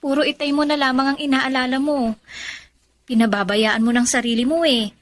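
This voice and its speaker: A woman playing a frank, concerned young friend in a Tagalog radio drama, caring and gently reproachful. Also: high-pitched, steady tone, brisk, no room sound, close to an everyday voice. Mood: concerned, chiding.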